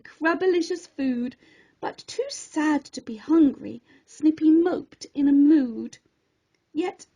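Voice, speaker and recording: A woman storyteller narrating a rhyming children's story in an expressive, theatrical and deliberate way. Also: very high voice, poor audio.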